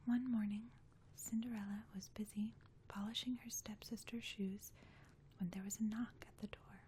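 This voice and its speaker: Softly whispering woman, ASMR style. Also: medium pitch, steady tone, normal speed, slight background noise. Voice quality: breathy whisper. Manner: soft, gentle, soothing. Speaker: ASMR performer playing a caring friend. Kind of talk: whispered ASMR role play and bedtime story reading.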